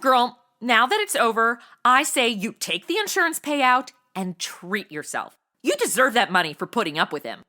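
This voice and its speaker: A bright, high-voiced young woman in a radio comedy. She is ditzy and boy-crazy, gushing and oversharing with flippant emotion. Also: big pitch swings, moderate pace, few pauses. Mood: breezy, oversharing. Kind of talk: comedy audio drama acting.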